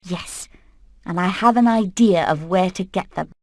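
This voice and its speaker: A woman's voice: lowish voice, a little slow, darkish tone, no echo. A woman voicing a determined hen leader in a video game, earnest and purposeful. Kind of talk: animated video game acting.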